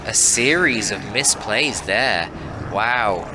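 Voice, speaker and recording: A man's voice: fairly low pitch, expressive, slow pace, noisy background. A man giving analytical, informal live game commentary in a casual, mildly sweary, exclamatory way. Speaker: esports caster, channel host.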